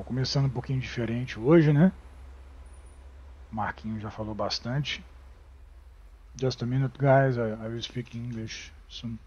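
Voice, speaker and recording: Slow, halting Brazilian man, muffled mic. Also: medium pitch, darkish tone, husky. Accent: Brazilian Portuguese. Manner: halting, many fillers and restarts. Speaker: gaming YouTuber. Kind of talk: casual game commentary.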